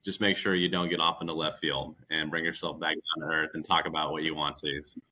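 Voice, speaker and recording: A man's voice: rumbling low, little pitch movement, brisk, bright, forceful tone. A man speaking conversationally as a recruiter guest, coaching with emphatic, repeated phrases. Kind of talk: conversational interview advice.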